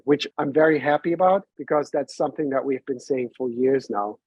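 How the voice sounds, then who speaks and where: A man in his forties with a German accent, a podcast guest explaining insurance consulting in a measured, step-by-step way. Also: a little high, darkish tone, slightly rough, no echo. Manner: measured, explanatory, builds long points step by step. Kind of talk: conversational business interview. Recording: slightly dull lo-fi sound.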